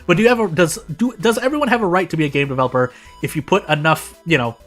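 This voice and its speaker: An American man with a fairly high voice streams a game with music playing faintly behind him. He talks casually and loosely, wandering between thoughts and correcting himself mid-sentence. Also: fast talker, a bit bright. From United States. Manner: rambling, self-correcting. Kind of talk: casual live gameplay commentary.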